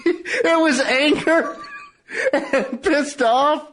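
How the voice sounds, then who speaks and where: Animated woman chatting, noisy recording. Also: high voice, very slow. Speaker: radio show interviewer. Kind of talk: casual chat.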